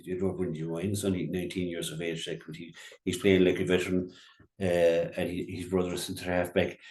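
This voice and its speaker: Deep-voiced, halting Irishman from County Clare. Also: darkish tone, echoey room. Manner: halting, repeats words and restarts often. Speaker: local radio sports pundit. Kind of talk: unscripted GAA sports commentary on local radio.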